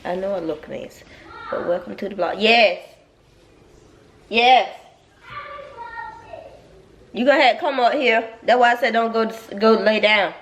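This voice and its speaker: Gravelly Southern mom vlogging at home. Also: female voice, mid-range voice, unhurried, long pauses, fairly bright tone, slight background noise. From North Carolina. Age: young adult. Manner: rambling, repeats herself, talks to viewers as y'all. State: stuffy nose. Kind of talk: casual vlog chat. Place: home kitchen.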